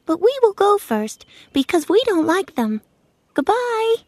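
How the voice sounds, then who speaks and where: A woman voicing a tiny, kindly queen of the field mice in a storybook reading, squeaky and sing-song. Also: very animated, unhurried, no room sound, exaggerated voice.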